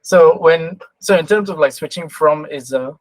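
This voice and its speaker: A woman's voice: low voice, normal speed, no room sound, low-quality recording. A woman hosting a podcast interview, asking questions in a casual, conversational style. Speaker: podcast host and writer.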